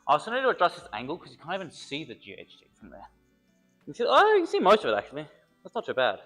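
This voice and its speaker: A man's voice: fairly high pitch, huge pitch swings, racing, pushed and bright, faint music behind. A young man, a personal trainer, chatting casually and joking while he works out, a little congested from a cold. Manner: rambling, joking, thinks aloud between sets. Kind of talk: casual workout vlog talk.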